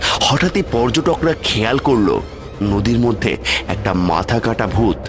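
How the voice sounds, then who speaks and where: A man's voice: fairly low pitch, big pitch swings, measured pace. A man telling a scripted horror story as the narrator of a cartoon tale. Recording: loud music with cricket sounds behind.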